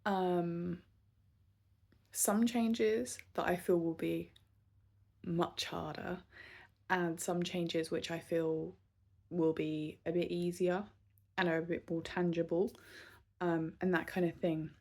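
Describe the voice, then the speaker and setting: A woman in her thirties talks candidly to camera in a casual vlog, thinking aloud as she goes. She speaks very slowly, with many pauses, fillers and restarts. Her voice sits a little low. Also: flat delivery, gravelly, darkish tone. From southern England. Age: 30s. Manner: candid and self-reflective. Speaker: vlogger.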